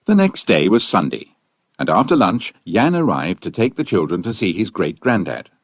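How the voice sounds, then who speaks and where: A man's voice: deep voice, big pitch swings, moderate pace, no echo. A man reading scripted audiobook narration as a storyteller.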